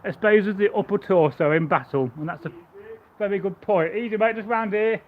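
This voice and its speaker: A man live-streaming as a Viking reenactor, chatting loosely and stammering through restarts with a loud voice, outdoors at home. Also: high-pitched, normal speed, phone-quality sound. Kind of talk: casual live stream chat and demonstration.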